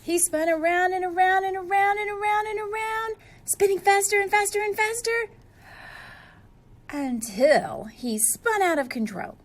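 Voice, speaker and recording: A woman's voice: extremely high pitch, unhurried. A woman reading a storybook aloud to young children, expressively and speaking to them directly.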